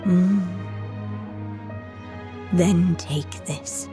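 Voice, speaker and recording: A gentle storybook witch mother from a fantasy game, speaking very slowly in a lowish voice. She is solemn and tender, like a fading magical echo, with music playing behind her. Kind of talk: scripted game voice acting.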